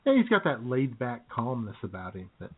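A man's voice: mid-range voice, huge pitch swings, moderate pace, slightly rough. Tinny-sounding wry male radio co-host. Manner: wry, chuckling, repeats phrases. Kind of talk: casual political banter. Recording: tinny, narrow phone-like sound.

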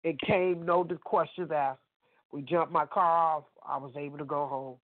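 A woman's voice: low-pitched, steady tone, normal speed, no room sound. A woman co-hosting a faith talk radio show with a stuffy nose, testifying emphatically and talking straight to listeners. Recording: dry phone-line sound.

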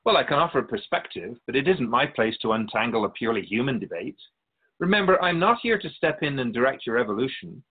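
A man plays a wise otherworldly spirit of a radio drama, patient and gently pedantic. The voice is husky and swoops widely as it explains things in measured phrases. The sound is narrow and phone-like. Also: mid-range voice, sing-song. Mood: patient, knowing. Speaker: spirit being interviewed. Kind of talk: scripted radio drama dialogue.

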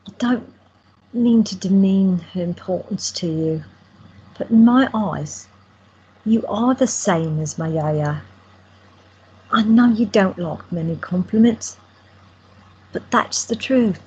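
A woman playing a soft-spoken, husky granddaughter. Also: medium pitch, slow, pauses often. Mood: gentle. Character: devoted granddaughter, gentle and reassuring. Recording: dull lo-fi recording.

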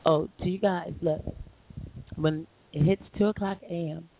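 Hoarse woman hosting over a phone line. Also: on the low side, steady tone, measured pace. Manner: rambling, chatty, full of fillers and restarts. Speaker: internet radio host. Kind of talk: casual live call-in show talk. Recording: lo-fi phone line with faint hiss.

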